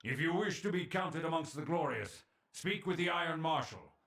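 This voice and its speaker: A man playing a proud, stern military commander in a fantasy game, speaking in a formal, imperious, measured way. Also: fairly high pitch, lively intonation, gravelly, a bit bright. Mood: commanding, curt. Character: stern, proud male military commander, disdainful of his allies. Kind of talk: fantasy video game voice acting.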